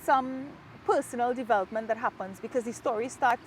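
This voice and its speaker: A woman from Trinidad and Tobago with a Trinidadian accent, an author talking about her book in an outdoor interview in a park, reflective and quick to laugh. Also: on the high side, slight background noise, poor audio. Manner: warm, reflective, laughs easily. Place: outdoors in a park, rain falling.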